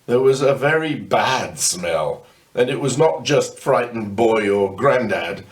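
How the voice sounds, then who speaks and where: An older man reading a children's ghost story aloud in a wry way, with dramatic pauses. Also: medium pitch, expressive. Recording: distant microphone.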